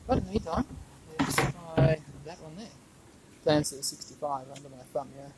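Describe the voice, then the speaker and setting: A man's voice: fairly high pitch, lively intonation, normal speed. A man giving casual, unscripted hands-on commentary, thinking aloud as he works on a DIY woodworking job. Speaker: DIY woodworking video maker. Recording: clear background noise with clicks.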